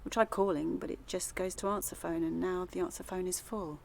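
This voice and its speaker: A woman's voice: lowish voice, fairly even intonation, brisk, gravelly, a little hiss or hum. A woman playing a polite, worried campaigner in a naturalistic audio drama, hesitant and earnest. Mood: anxious, polite. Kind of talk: naturalistic radio drama acting.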